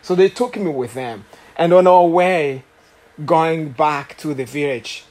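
A man in his late thirties from Rwanda, with a Rwandan accent, preaching as a guest speaker and telling his story with many pauses, fillers and restarts. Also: on the high side, a little mellow. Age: late 30s. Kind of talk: sermon testimony.

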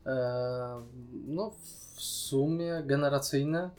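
A man with a medium-pitched voice talks as a podcast co-host. His delivery is casual and conversational, as in a relaxed discussion of a series. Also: slow, faint music behind.

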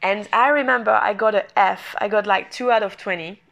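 A woman's voice: mid-range voice, fairly quick, gravelly, a bit bright. A woman in her twenties from France, speaking English with a French accent, sharing her life story in an earnest, repetitive monologue with non-native grammar. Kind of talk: personal motivational monologue.

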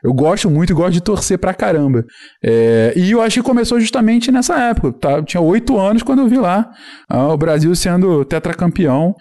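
A man in his thirties speaks with a Carioca Brazilian accent and a somewhat high, mellow voice. He is a podcast guest in casual chat, telling his stories in an animated, rambling way full of restarts. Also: a little fast. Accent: Carioca Brazilian Portuguese. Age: 30s. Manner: animated, rambling storyteller with many restarts and fillers.

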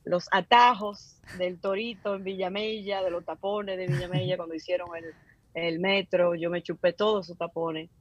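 Low-voiced Dominican woman, husky. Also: fairly even intonation, moderate pace, hardly any pauses, lo-fi sound. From Dominican Republic. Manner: hesitant, many fillers and restarts. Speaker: guest. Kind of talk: casual interview.

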